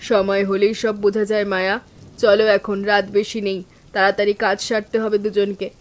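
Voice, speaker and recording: A woman playing a calm, steadfast elder sister-in-law in an animated village story, speaking in a composed, firm and reassuring way. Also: medium pitch, brisk, natural voice. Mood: reassuring, resolute.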